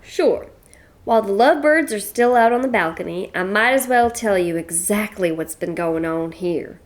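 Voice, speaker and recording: An actress playing a blunt, folksy middle-aged widow from the American West, speaking with a Western accent. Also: female voice, medium pitch, expressive, normal speed, natural voice. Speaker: Mrs. Gibson.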